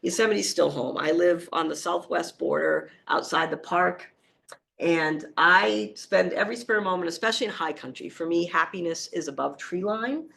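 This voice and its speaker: Hoarse Boston woman giving a talk. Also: fairly low pitch, moderate pace. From Boston area, Massachusetts. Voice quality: gravelly, creaky. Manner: animated, storytelling, frequent fillers. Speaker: wildlife conservation speaker. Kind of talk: public talk with slides.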